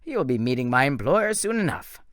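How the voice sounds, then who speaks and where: A man voicing a menacing male monster who speaks slowly and theatrically, gloating over his captives. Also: medium pitch, big pitch swings, a bit bright, dry recording, studio quality.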